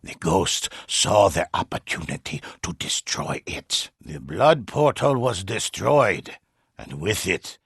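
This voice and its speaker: An old male calligrapher recounting legends in a video game. His voice is deep and heavily rasped, with a creaky gravel in it. He narrates in a calm, measured, portentous way, full of mystery. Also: no room sound, clean studio sound, heavily acted. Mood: grave, ominous. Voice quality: husky, gravelly.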